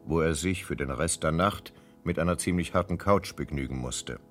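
An actor as the measured narrator of a detective radio play, reading scripted storytelling. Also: male voice, a bass voice. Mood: measured narration. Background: plucked guitar music.

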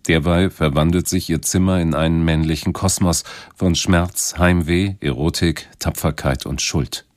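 A male presenter with a deep voice introduces a radio drama. He reads scripted narration in a dry studio sound. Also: steady tone, normal speed, a little mellow, dry recording.

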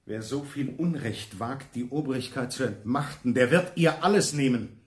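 An actor in a German radio play voicing a stern, merciless preacher who denounces rebels in harsh declamation. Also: male voice, mid-range voice, moderate pace, natural voice. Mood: grim, distrustful. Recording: echoey room.

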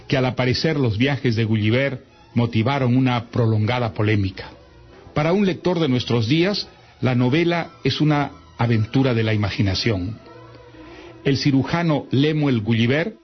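A man presenting a radio novel with a scripted literary introduction, speaking in a formal, deliberate, didactic manner. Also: mid-range voice. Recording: slightly dull radio sound, music underneath.

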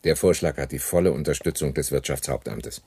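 Very deep, officious bureaucrat. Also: male voice, a little fast, creaky, dark tone, slightly rough, no echo, heavily acted. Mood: officious, insistent. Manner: formal, methodical, officious. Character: measured, officious male Nazi economic bureaucrat, coldly insistent. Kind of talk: German radio play acting.

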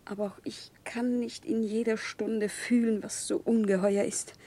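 A woman's voice: fairly high pitch, little pitch movement, a little slow, mellow. An actress in a German radio drama playing a fervent, obsessive woman of the common people with a solemn, impassioned delivery. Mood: crushed despair.

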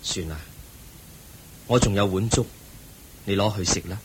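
An actor playing the Buddha, a calm and compassionate teacher who speaks gently and patiently, in a radio play. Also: male voice, lowish voice, a little hiss or hum, natural voice, poor audio. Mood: serene. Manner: gentle, patient, measured preaching. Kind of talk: Buddhist radio drama acting.

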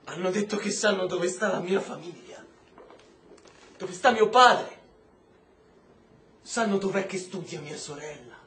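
A man's voice: high voice, measured pace, pauses often, fairly bright tone. A man acting in an Italian radio drama as a young, defiant, wounded prisoner, moving between muttering and outbursts. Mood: shaken. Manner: emotional, swinging from muttering to outbursts. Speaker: actor. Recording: roomy, echoing, noisy background.

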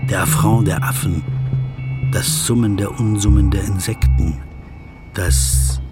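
An actor playing a wordy, brooding legal counsel who muses pedantically to himself in a literary radio play. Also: male voice, lowish voice, fairly even intonation, a little slow, loud music behind the voice, lightly acted. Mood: musing, wistful. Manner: musing, pedantic about words, addressing himself.

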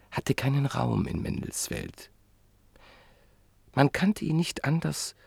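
A man narrating a classic literary radio play in a measured, reflective way that sometimes sinks to a near whisper. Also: medium pitch, gravelly, husky, no echo.

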